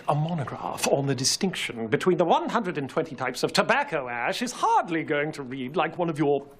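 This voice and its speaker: A man playing a pompous, fastidious Victorian detective, wordy and scornful in his delivery. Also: on the high side, very animated, a bit bright, husky, full character voice. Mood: disdainful.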